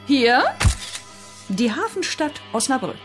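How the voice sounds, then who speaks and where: An actress playing a commanding, matter-of-fact briefer at a heavenly agency in a comedic radio play. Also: female voice, sits high, very animated, takes it slowly, over music, full character voice.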